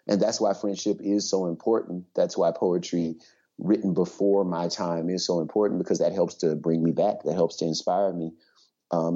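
A man's voice: sits low, warm tone. A man being interviewed as a poet, speaking reflectively and earnestly, repeating phrases for emphasis. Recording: dull lo-fi interview audio.

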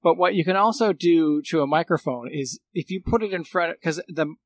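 A man giving a casual technical explanation, halting and often rephrasing as he goes. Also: on the high side.

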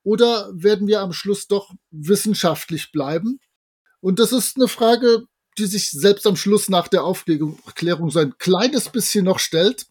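A man's voice: high-pitched, a little slow, a little mellow, husky. A man, co-hosting a podcast and casually retelling a story with his own comments. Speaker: podcast co-host. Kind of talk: casual discussion of an audio drama, retelling and commenting.

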